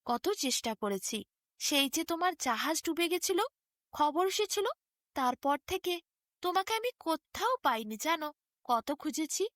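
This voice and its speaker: A woman's voice: high voice, animated, measured pace, a bit bright, no room sound, studio quality. A woman voicing a devout, emotional young mother pleading with her long-lost husband in a fairy tale. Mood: pleading, hurt.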